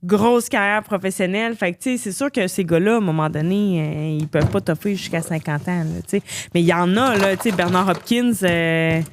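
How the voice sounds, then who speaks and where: A woman's voice: lowish voice, a little fast, noisy background. A woman in her thirties with a Québécois French accent, a boxer chatting as a podcast guest with many fillers. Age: 30s. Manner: chatty, frequent fillers, laughs easily. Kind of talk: casual conversation.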